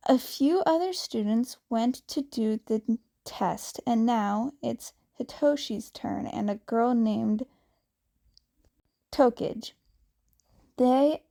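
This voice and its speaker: A woman reading a fanfiction story aloud, slowly and haltingly, with some restarts, and she raises her pitch when she voices the characters. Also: creaky, warm tone. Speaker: fanfiction reader.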